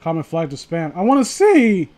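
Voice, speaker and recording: A man with a notably high voice reads aloud to a livestream in a loose, informal way. He rambles, swears freely and often drops a sentence halfway to add mocking commentary. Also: huge pitch swings, normal speed, a little mellow. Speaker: streamer reading stories aloud to viewers.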